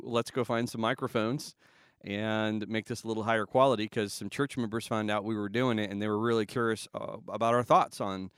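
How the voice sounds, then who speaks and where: A man in his thirties from Southern California, a pastor talking casually as a podcast guest, relaxed and rambling with fillers and chuckles. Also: on the low side, clean tone, no echo, clean studio sound.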